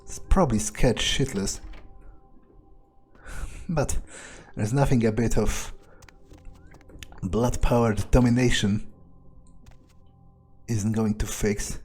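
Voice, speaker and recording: A man improvising in-character video game commentary, thinking aloud in a deadpan, callous way with pauses. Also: fairly low pitch, slightly rough, soft background music. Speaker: gameplay player staying in character.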